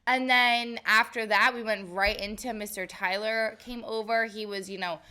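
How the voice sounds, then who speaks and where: A woman co-hosting a casual podcast with a stuffy, nasal, raspy voice from a cold, chatting in a bubbly way and tripping over her words. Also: mid-range voice, steady tone, normal speed, pushed and bright. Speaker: podcast co-host. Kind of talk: casual chat.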